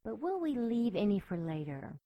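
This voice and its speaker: A woman's voice: medium pitch, big pitch swings, moderate pace, muffled recording, close to an everyday voice. An actress playing a sinister, flirtatious female demon in an audio drama, sly and sadistic. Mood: sly menace. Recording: loud background noise, clicks.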